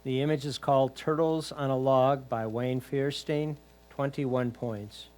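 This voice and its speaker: A man's voice: medium pitch, fairly even intonation, a little slow, a little mellow. A man reading out titles and scores at a competition in a formal, matter-of-fact way.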